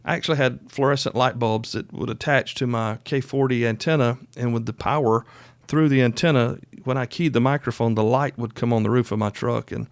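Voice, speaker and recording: A man in his fifties talks as a solo podcast host in a folksy, conversational manner. His pitch sits in the middle range for a man, and he leans on key points and wanders into asides. The sound is close and dry. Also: steady tone, a little fast, no echo. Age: 50s. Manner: folksy, chatty, emphatic, given to asides. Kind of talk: casual solo podcast talk.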